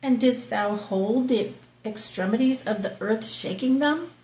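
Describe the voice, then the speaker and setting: A woman reading scripture aloud slowly and explaining it, stopping for casual asides and soft whispered remarks. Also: fairly high pitch, roomy sound, low-quality recording. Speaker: Bible reading host. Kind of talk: slow scripture reading with commentary.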